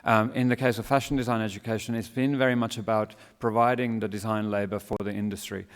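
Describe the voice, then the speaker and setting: Finnish-Australian man, low and smooth. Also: quick pace. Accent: Finnish with Australian mix. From Finland. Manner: relaxed, many fillers, self-deprecating. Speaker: fashion design academic, panel speaker. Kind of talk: panel presentation. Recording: reverberant hall.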